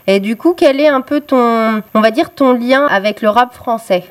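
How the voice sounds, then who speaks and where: A woman's voice: on the high side, moderate pace. A young woman hosting a radio interview, speaking hesitantly with many filler sounds. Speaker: radio host.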